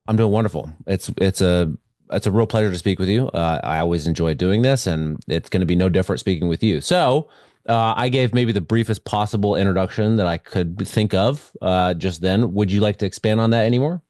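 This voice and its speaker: A man's voice: low-pitched, brisk, a little mellow, dry recording, clean studio sound. A man hosting a podcast interview, friendly, with frequent stammered restarts. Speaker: podcast host.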